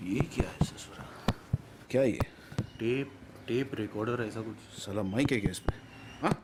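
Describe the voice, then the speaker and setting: Man playing rough guy, brusque n suspicious, talks really fast, noisy recording. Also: lowish voice, lightly acted. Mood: suspicious, agitated.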